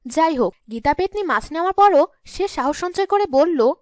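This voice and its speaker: A woman's voice: extremely high pitch, animated, normal speed, darkish tone, dry recording, studio quality. A woman narrating a scripted cartoon story as the storyteller.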